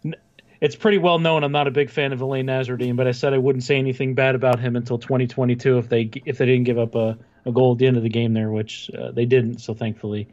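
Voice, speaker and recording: A man's voice: mid-range voice, little pitch movement, fast talker, darkish tone. Opinionated male sports fan, slightly dull audio. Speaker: sports podcast co-host. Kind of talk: casual opinionated hockey fan chat.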